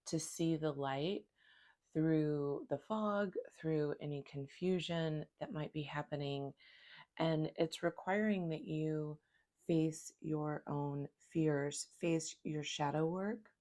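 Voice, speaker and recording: A woman with a hoarse voice from a cold, giving a calm, flowing spiritual tarot reading. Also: deep voice. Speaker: tarot reader.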